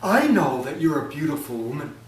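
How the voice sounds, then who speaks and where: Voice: mid-range voice, very animated, fairly quick, close to an everyday voice. A storyteller voicing a sly young trickster man who mocks and pleads in a raised voice, heard in an echoey room from a distance. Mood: pleading. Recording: echoey room, from far off, faint ambience.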